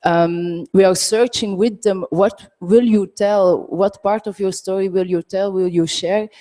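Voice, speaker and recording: Mellow-voiced woman asking from the audience. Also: mid-range voice, steady tone, unhurried. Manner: hesitant, many fillers. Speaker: audience member asking a question. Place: large conference hall, audience floor.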